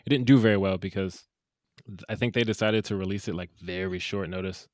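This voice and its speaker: A man co-hosting a casual movie review podcast, animated and jokey with quick asides. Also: sits low, dry recording, studio quality. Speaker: podcast co-host.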